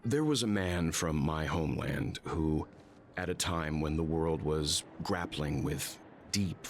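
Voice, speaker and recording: A man's voice: a bass voice, takes it slowly, a little hiss or hum. An actor playing a wise, world-weary traveler in a game, telling his story in a grave and thoughtful way. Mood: solemn. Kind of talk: scripted game dialogue, solemn storytelling.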